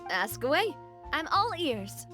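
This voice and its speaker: A woman's voice: sits high, sing-song, slow pace, exaggerated voice. A woman playing a spirited young gang leader in a video game, fiercely loyal, bubbly and dramatic. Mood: cheerful, teasing. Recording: music behind the voice.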